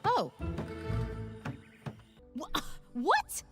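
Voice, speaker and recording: A woman playing an earnest, excitable young heroine in an animated adventure game, expressive and wryly exasperated. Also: sits high, drawn-out words, exaggerated voice. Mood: baffled, curious. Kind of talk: animated game cutscene acting. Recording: faint music behind.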